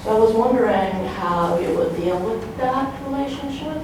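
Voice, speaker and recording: A woman's voice: a little high, lively intonation, moderate pace. A woman in a conference audience, tentatively thinking aloud as she puts an academic question. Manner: tentative, frequent "like" as filler. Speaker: audience member asking a question. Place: indoor university conference room. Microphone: distant room microphone. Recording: echoey, noisy room from far off.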